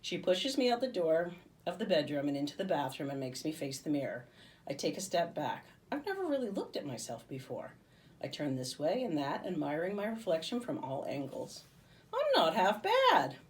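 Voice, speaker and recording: A woman reading a children's story aloud as a teacher would, in an expressive narrating style. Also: low voice, brisk, far from the mic, a little hiss or hum.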